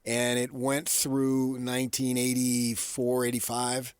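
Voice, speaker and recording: A middle-aged man co-hosting a sports radio show, telling stories casually in a chatty, animated way. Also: medium pitch, slow pace, clear, resonant tone, dry recording, clean studio sound.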